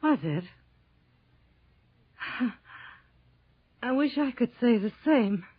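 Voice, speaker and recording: An actress playing a scientist's neglected wife, bitter and accusing, in an old radio drama. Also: female voice, a little high, lively intonation, drawn-out words, husky, heavily acted. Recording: dull lo-fi radio drama recording.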